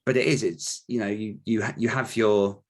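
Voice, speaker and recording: A man's voice: fairly low pitch, steady tone, moderate pace. A man talking casually as a podcast host, rambling a little as he thinks aloud while answering questions. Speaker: podcast host and author coach.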